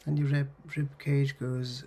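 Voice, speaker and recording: Husky, creaky man guiding a meditation. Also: mid-range voice, flat delivery. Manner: soothing, gently instructive, pausing between short phrases. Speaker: counsellor guiding mindfulness. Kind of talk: guided meditation, body scan.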